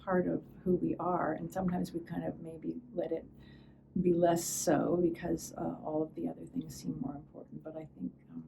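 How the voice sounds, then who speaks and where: A woman's voice: sits low, little pitch movement, normal speed, creaky, dark tone. An older woman, an actor, speaking reflectively in an interview. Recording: distant mic, faint background noise.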